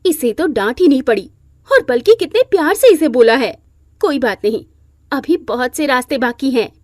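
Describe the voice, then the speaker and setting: A woman's voice: high-pitched, animated, normal speed, darkish tone, no echo, clean studio sound. A woman voicing a rich, jealous, scheming daughter-in-law in a moral story, scornful and boastful. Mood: irritated, plotting. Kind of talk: Hindi moral-story character acting.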